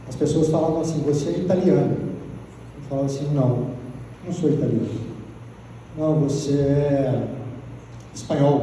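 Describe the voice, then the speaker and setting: A man's voice: on the high side, dark tone, slight background noise. A Brazilian man giving a talk to an audience, chatty, often ending phrases with tag questions. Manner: conversational, frequent tag questions. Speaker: guest speaker. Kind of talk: lecture with audience Q&A. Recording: distant mic in reverberant hall.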